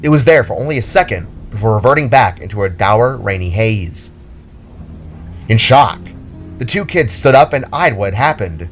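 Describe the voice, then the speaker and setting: A man's voice: lowish voice, animated, moderate pace, faint music behind. A man reading scripted narration for an audio drama, as the story's narrator.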